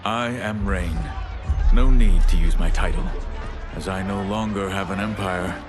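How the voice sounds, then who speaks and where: An actor playing a dignified deposed emperor in a video game, speaking in formal, measured phrases. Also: male voice, low-pitched, steady tone. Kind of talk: cinematic video game acting. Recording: game cutscene with loud music behind.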